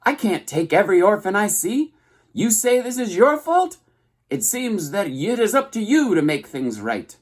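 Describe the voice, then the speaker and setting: A man's voice: high-pitched, big pitch swings, moderate pace, voice pushed up. A man reading aloud and voicing a fussy, bossy old female goose who squawks and scolds.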